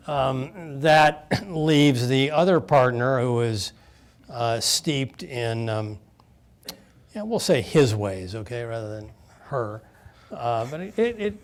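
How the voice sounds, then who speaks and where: A man speaking as an expert on a panel, halting with many pauses and fillers, then blunt in his points. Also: medium pitch. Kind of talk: panel discussion.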